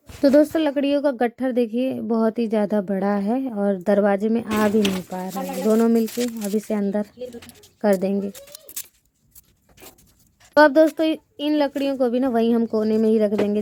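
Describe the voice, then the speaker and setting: A woman's voice: medium pitch, mellow, a little hiss or hum. A young woman from India with a sore throat, chatting casually to her viewers as she sweeps and plasters her village house with mud. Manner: chatty, rambling, addresses viewers as friends. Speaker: village vlogger. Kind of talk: casual chat to viewers while doing chores.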